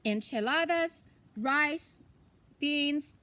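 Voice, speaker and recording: A cheerful, forgetful Mexican grandmother from a children's storybook, played by a woman reading aloud. Her voice sits high and she takes her words slowly, musing to herself and exclaiming, with bits of Spanish mixed in. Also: sharp tone, exaggerated voice. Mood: musing, proud. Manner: exclaims, muses aloud, mixes in Spanish phrases.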